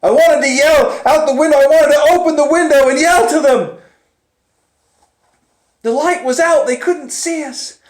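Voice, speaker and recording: A male storyteller performs a first-person tale with theatrical intensity. His voice sits high for a man and swings between hushed suspense and urgent, raised delivery. The sound is roomy, with clear echo. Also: moderate pace, pauses often.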